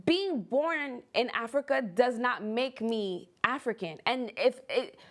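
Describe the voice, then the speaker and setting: Woman, American, panel debate, earnest, emphatic, fairly high voice. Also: animated, slow. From United States. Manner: earnest, emphatic, repeats words while building a point.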